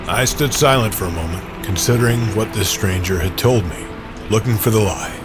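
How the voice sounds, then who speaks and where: A man reads a first-person story as narrator of an audio drama. His voice sits fairly low. An original music score plays clearly behind him. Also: a little fast. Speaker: narrator and performer.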